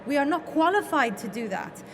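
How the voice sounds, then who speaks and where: A woman's voice: sits high, big pitch swings, fairly quick. A woman speaking as a panel moderator, emphatic and often repeating words for weight. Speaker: session host and moderator. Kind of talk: panel discussion remarks. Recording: noisy live event room.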